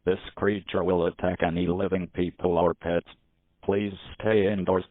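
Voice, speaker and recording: A man's voice: deep voice, normal speed, no echo. A man with a synthetic-sounding voice reading a formal, scripted emergency warning as an announcer. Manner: flat, formal. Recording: tinny phone-like broadcast audio.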